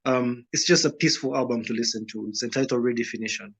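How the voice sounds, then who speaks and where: A man, a guest musician answering interview questions in a calm, measured, unhurried way. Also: mid-range voice, gravelly, slightly rough. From Uganda, Rwandan roots. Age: 30s. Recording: dull lo-fi sound.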